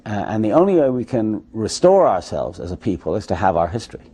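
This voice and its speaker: A man giving a documentary interview, speaking in a measured, emphatic way. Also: on the low side, sing-song, dark tone, slightly rough.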